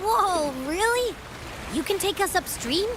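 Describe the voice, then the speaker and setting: An actor voicing an excitable youthful adventurer in a video game. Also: very high voice, a little slow, heavy background noise. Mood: amazed. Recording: game audio under loud music.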